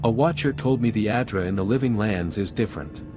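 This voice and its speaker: A man playing a steady, guarded adventurer hero in a fantasy video game, speaking in a measured, serious way. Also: lowish voice, close to an everyday voice. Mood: earnest. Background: music.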